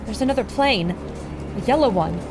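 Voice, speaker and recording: A woman's voice: on the high side, lively intonation, normal speed, lightly acted. A woman playing an anxious but plucky young adventurer in an audio drama. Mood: alarmed. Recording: loud music over the voice.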